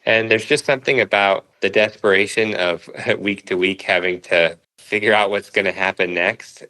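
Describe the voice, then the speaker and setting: Low bright-voiced man, thoughtful interview guest. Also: rough recording. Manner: thoughtful, explains through analogies. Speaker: interview guest, cartoonist and author. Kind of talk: conversational explaining.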